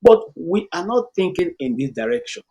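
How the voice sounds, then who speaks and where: A man's voice: high-pitched, big pitch swings, moderate pace, warm tone. A middle-aged Nigerian man telling stories emphatically and asking rhetorical questions in a conversational discussion.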